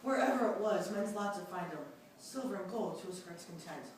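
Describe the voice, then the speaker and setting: A woman's voice: mid-range voice, lively intonation, fairly quick. A woman reciting narrative verse in a readers theatre performance. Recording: distant, very echoey room, faint noise.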